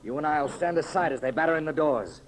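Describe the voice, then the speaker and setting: Man acting a high-voiced, commanding radio serial hero. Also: fast talker, natural voice, low-quality recording. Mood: brisk, resolute. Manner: commanding, declamatory, urgent. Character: bold heroic male adventurer, commanding men into battle. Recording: vintage 1930s radio recording, loud crowd noise.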